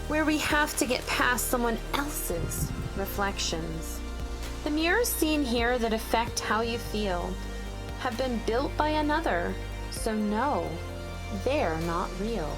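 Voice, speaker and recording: Slow, high-voiced woman reading a storybook. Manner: deliberate, addressing young children. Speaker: children's storybook narrator. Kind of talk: expressive read-aloud of rhyming picture book.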